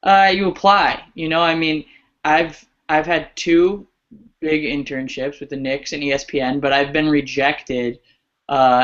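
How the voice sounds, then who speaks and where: A young man speaks as an alumni guest on a video call, his voice mid-pitched and a little muffled by the line. He talks in a relaxed, rambling way, restarting his sentences as he goes. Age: 20s. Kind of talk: casual video-call interview.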